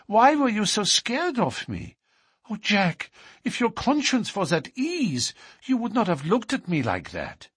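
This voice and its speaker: A man's voice: huge pitch swings, a little slow, fairly bright tone, breathy edge, no room sound, studio quality, heavily acted. A man voicing a pleading young woman. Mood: accusing. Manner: high, tearful, urgent pleading. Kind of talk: audiobook character reading.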